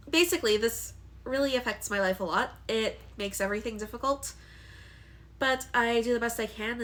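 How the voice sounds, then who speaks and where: A woman's voice: mid-range voice, fairly bright tone. A young woman with a congested voice from allergies, talking candidly to camera in a personal vlog, hesitant with frequent ums. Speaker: vlogger.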